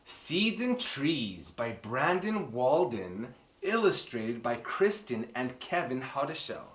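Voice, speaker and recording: A man hosts a children's story time in a theatrical, exclamatory way, talking straight to his young audience. His pitch swings widely while he takes his time over the words. The room adds a noticeable echo around his voice. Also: sing-song, slow pace. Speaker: host of a children's book club and story time. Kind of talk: animated children's book presenting and read-aloud. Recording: roomy echoing room sound.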